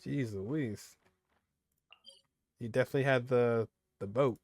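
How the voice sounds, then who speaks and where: A man's voice: medium pitch, a little slow, a little mellow, no room sound. Casual man commentating a game. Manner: informal, reacting aloud. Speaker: gaming video host.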